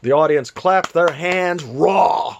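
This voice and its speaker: A man's voice: on the high side, slow, gravelly, a little hiss or hum. A man reading a literary story aloud as an author would, with a theatrical delivery. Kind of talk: literary read-aloud, scripted narration.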